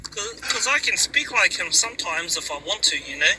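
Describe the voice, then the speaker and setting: A man from New Zealand with a Kiwi accent, chatting casually on a group call while driving, fairly monotone. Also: high-pitched, fairly quick, over a noisy crowd, low-quality recording. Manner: laid-back, a bit monotone. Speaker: group chat member. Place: in a car, driving.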